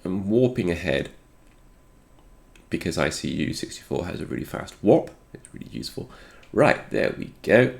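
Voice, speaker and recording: Man, low-pitched, congested from a cold, musing technical walkthrough, unscripted. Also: lively intonation, moderate pace, gravelly, faint music behind. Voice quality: slightly congested. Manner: thinking aloud, musing. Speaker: host of a retro game programming video.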